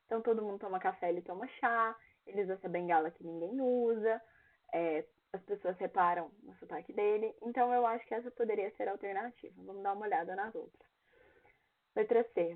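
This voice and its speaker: A young woman with a Brazilian Portuguese accent teaching an English exam review class, reading lines in English and explaining them. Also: medium pitch. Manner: reads English lyrics aloud, then translates and explains each line. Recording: tinny phone-like online class audio.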